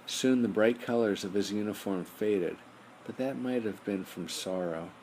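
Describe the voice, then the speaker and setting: Low-voiced man reading a bedtime story. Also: a little slow, a little mellow. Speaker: audiobook narrator. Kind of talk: scripted bedtime story narration. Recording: noisy amateur home recording.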